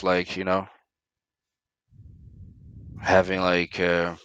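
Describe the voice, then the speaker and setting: Brazilian male gamer, very low voice, dry home recording, thoughtful and halting. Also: a bit bright, clear, resonant tone, no echo. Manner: thoughtful, searching for words, many fillers. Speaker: pro esports player guest. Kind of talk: casual interview over voice chat.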